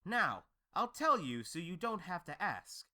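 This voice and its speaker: A man playing a humble, polite, comically flustered servant in an audio drama, plain-spoken and animated. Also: high voice, a little slow, full character voice. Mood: cheerful, proud. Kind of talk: audio drama acting of modernized Shakespeare.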